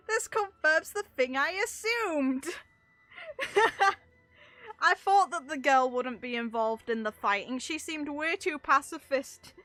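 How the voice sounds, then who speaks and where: A woman game streamer giving casual, animated, unscripted reactions in informal, sweary language. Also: high-pitched, no echo.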